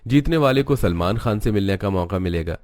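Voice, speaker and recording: A man with a fairly low voice narrates a cartoon story. His delivery is scripted narration, told from outside the characters as a storyteller. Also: animated, a little fast, a little mellow.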